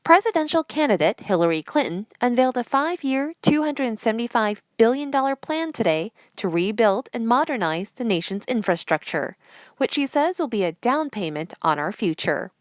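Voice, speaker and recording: Woman reading news headlines, formal anchor style, pitch moves around a lot, really dry close sound. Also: very animated, normal speed, dry recording. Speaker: news presenter.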